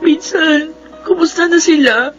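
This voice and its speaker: A woman's voice: extremely high pitch, a little slow, highs cut off, faint music behind. A woman with a Filipino accent playing a proud, emotional young mistress and daughter in a radio drama. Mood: tearful, regretful.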